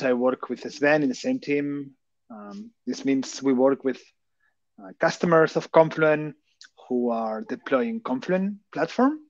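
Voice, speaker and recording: A man's voice: fairly high pitch, no echo. Catalan-accented man presenting over a call. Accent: Catalan Spanish. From Barcelona, Catalonia. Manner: hesitant, many fillers, asks rhetorical questions. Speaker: conference speaker. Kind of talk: technical presentation. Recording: dull, dry lo-fi call audio.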